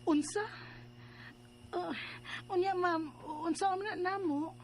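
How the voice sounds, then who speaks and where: A young mother in a radio drama. Her voice sits high and sounds plaintive, full of worried questions. There is faint noise in the recording. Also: takes it slowly, full character voice. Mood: bewildered. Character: earnest, distressed young mother, bride-to-be named Eileen. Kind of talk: radio drama acting with narration.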